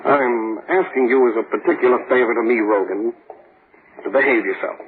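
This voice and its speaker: An actor playing a stern, fatherly prison warden who speaks calmly and formally, in old radio drama style. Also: medium pitch, fairly quick, close to an everyday voice. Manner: calm, formal, paternal. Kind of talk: 1940s radio acting. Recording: tinny old radio broadcast with faint hiss.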